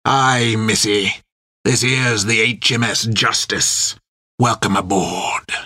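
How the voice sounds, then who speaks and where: A male narrator acts a rough first mate from a seafaring audiobook. He taunts and jeers in a rustic old-salt dialect, sly and threatening. The recording is dry and close. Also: on the low side, fairly even intonation, takes it slowly, sharp tone, no room sound, full character voice. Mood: mocking welcome.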